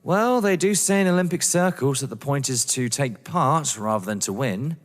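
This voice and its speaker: A man's voice: medium pitch, expressive, moderate pace, long reverb tail, close to an everyday voice. A man playing a proud, guarded young lover in a theatrical audio drama, answering with dry retorts. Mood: dry, probing.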